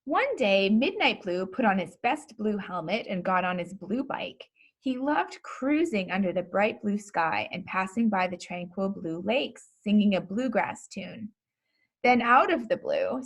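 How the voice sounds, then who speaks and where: A woman reading a children's story aloud in an expressive, deliberate storytelling manner. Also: medium pitch. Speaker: pastor reading a children's book.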